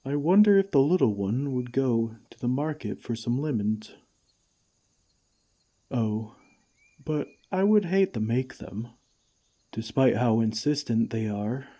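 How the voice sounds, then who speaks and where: A man playing a gentle, caring friendly giant in an ASMR comfort roleplay, with tender, old-fashioned, unhurried phrasing. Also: mid-range voice, lively intonation. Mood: musing to himself.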